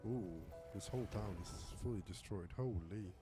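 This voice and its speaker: A man's voice: fairly low pitch, moderate pace. A man live streaming a game, commenting casually, reacting and thinking aloud. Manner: casual, reactive, hesitant mid-thought. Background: game music and dialogue.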